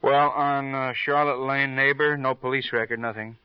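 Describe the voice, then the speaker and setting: An actor playing a chatty, plodding, good-natured police officer with a rambling, hesitant way of talking, in an old radio crime drama. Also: male voice, mid-range voice, fairly even intonation, normal speed, smooth, no echo, close to an everyday voice. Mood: plodding, earnest. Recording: muffled old radio recording.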